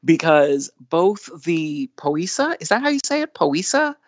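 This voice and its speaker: A man's voice: on the high side, sing-song, a little slow, no echo, highs cut off. A man co-hosting a podcast, chatting casually through the news with self-deprecating humour. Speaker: podcast co-host giving news.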